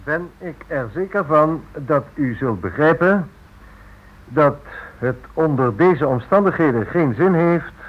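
A man acting in a radio drama as a brisk, businesslike, matter-of-fact office man. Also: mid-range voice. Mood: formal, dictating. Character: brisk, indifferent businessman dictating to his secretary. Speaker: actor. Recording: muffled old radio recording.

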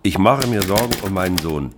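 A grumpy middle-aged dad, low voice, stilted pompous dictating, loud typewriter clatter. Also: male voice, animated, a little fast. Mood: worried, formal. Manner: stilted dictation aloud, muttering punctuation and curses. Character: grumpy, awkward father typing a pompous formal letter. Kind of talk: radio drama. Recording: noisy room with typewriter clatter. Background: typewriter typing.

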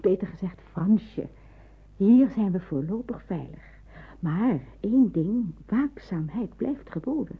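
An actress in a Dutch radio drama playing a watchful, practical nurse in hiding, explaining and cautioning. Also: female voice, medium pitch, animated, slow, slightly rough, heavily acted. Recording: narrow phone-like old radio recording.

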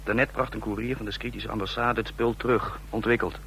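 An actor playing a breezy, cocky photographer and informant, chatting colloquially in a radio drama. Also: male voice, lowish voice, flat delivery, brisk, fairly bright tone. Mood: breezy, smug. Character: breezy, slangy male photographer working as informant. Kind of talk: radio drama acting, phone report. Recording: faintly noisy old radio recording.

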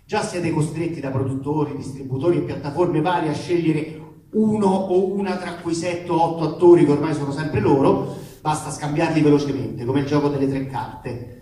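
A man's voice: on the high side, moderate pace, mellow. A man gives a comic theatrical reading of a letter, emphatic, aggrieved and ironic, in colloquial language with swearing. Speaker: actor reading aloud. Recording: echoey room, distant mic, faint noise.

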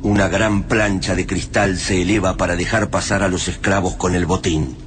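An Argentine actor narrating a dark science-fiction radio drama in a solemn, deliberate, dramatic manner. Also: male voice, sits low, steady tone. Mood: solemn, ominous. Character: male storyteller of a dark science-fiction tale. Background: music.

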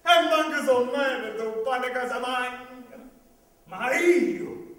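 Very high-voiced grumbling servant. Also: male voice, slow, fairly bright tone, exaggerated voice. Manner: complaining, lilting, breaks into sung refrains. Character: grumbling, hungry, sing-song male commedia dell'arte servant. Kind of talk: commedia dell'arte radio theatre acting. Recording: very echoey room, distant mic.

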